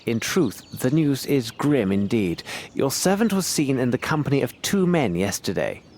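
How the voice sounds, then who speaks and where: A man voicing a shrewd, imperious gentleman detective in a video game, with a British accent and a precise, formal, theatrical delivery. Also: mid-range voice, sing-song, normal speed, slight background noise. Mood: grave, assured. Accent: British English. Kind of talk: dramatic video game voice acting.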